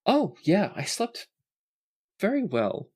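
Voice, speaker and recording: A man playing a gentle, easygoing adventurer with an accommodating manner in a tabletop game. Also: high voice, huge pitch swings, takes it slowly, breathy edge, pitched above the performer's own voice, heavily acted. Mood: pleased, curious.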